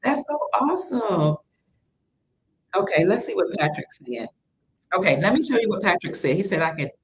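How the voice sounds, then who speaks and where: A woman from Cleveland hosting a livestream in a chatty, excitable way, reading viewer comments and greeting them as sister. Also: medium pitch, a little hiss or hum, rough recording. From Cleveland, Ohio. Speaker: livestream host.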